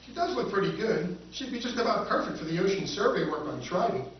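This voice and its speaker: A man's voice: high-pitched, very animated, fast talker, lo-fi sound, slight background noise. A man playing a skeptical, careful spaceship buyer who sounds doubting and wary, in a live recreation of a 1950s radio drama, recorded in an echoey hall from far off.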